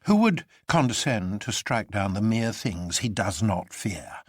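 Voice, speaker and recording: A man voicing an excitable, reckless young man in a storybook reading, with an impassioned, declamatory manner. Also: fairly low pitch, a little slow, slightly rough, dry recording, studio quality, exaggerated voice. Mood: exultant.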